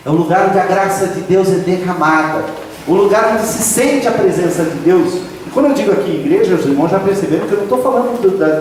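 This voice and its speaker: Pastor booming in a hall. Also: male voice, a little mellow, a little hiss or hum. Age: 50s. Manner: emphatic, drawing out key phrases and rising to exclamations. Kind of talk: sermon. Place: church sanctuary. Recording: echoey hall from a distant mic.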